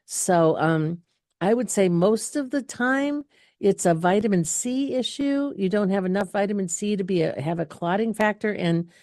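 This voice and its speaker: A woman's voice: mid-range voice, normal speed, darkish tone, no echo, clean studio sound. A middle-aged woman hosting a casual live question-and-answer session, talking in a chatty, rambling way with many fillers and restarts. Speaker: health clinic host answering viewer questions.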